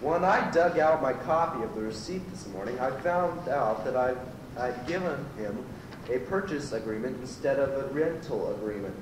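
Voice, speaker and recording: A man's voice: mid-range voice, lively intonation, moderate pace, close to an everyday voice. A man playing an earnest, rambling teenage goat who works as a rental clerk in an amateur radio comedy. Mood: rambling, explaining. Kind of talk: amateur old-time radio comedy acting. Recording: echoey, distant, noisy amateur tape.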